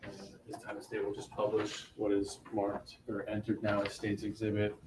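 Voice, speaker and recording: A man acting as prosecutor in court, brisk and formal as he enters an exhibit. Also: lowish voice, completely flat delivery. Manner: brisk, formal legal phrasing. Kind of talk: courtroom examination. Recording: roomy courtroom audio from a distant mic with loud noise.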